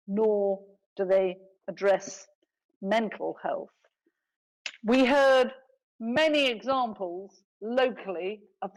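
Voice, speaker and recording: A woman giving a prepared talk in deliberate, emphatic short phrases. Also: mid-range voice, a little mellow, rough recording.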